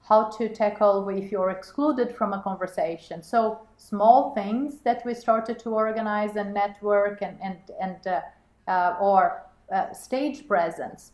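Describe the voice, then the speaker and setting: A woman's voice: mid-range voice, fairly even intonation, slow, a little mellow. A woman guest, a corporate managing director, talking in an interview with frequent restarts and repeated words. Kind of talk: interview conversation. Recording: roomy echo.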